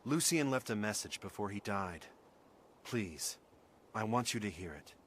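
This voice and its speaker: A man's voice: fairly low pitch, animated, unhurried, slight background noise. An actor playing a sincere young man in a video game, earnestly imploring someone to listen. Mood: somber, earnest. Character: earnest young man, pleading bearer of bad news. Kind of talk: video game cutscene acting.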